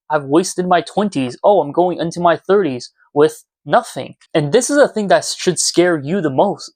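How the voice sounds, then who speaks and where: Young guy around 20, kinda higher voice, casual heartfelt vlog talk, really dry room sound no echo. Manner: earnest, self-reflective. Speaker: YouTuber. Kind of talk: confessional casual vlog, talking to viewers. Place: small room at home, indoors.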